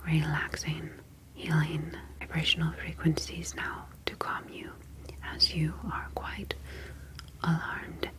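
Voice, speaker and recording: A woman voicing a calm, clinical ocean alien scientist in an ASMR sci-fi roleplay, whispering breathily with formal, measured phrasing. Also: low voice, low-quality recording. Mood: soothing, clinical.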